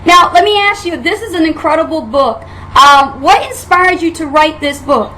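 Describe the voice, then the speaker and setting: A woman's voice: extremely high pitch, normal speed, bright, forceful tone, a little hiss or hum, low-quality recording. A woman hosting an interview show, enthusiastic and familiar with her guest. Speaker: talk show host.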